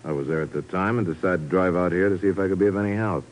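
An actor playing a defensive, touchy suspect in a 1950s radio drama, curt and challenging. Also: male voice, low-pitched, very fast, natural voice.

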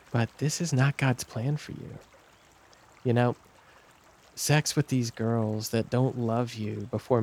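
Young camp counsellor, average male pitch, earnest, reassuring, halting, naturalistic audio drama. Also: a little mellow. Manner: hesitant, reassuring.

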